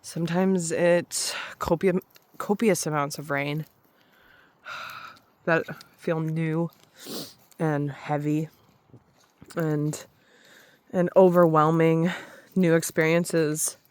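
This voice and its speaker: A woman talking slowly and softly in a reflective spiritual vlog, pausing and restarting often, with a slightly raspy voice, alone in a forest. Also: low-pitched, no room sound. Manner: soft, slow, hesitant, with many restarts. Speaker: spiritual vlogger.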